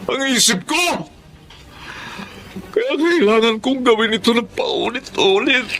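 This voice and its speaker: An anguished, compulsive Filipino husband in a radio drama. His voice sits high and moves in wide swings, restless and insistent, often repeating himself. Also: lively intonation, takes it slowly, fairly bright tone, exaggerated voice.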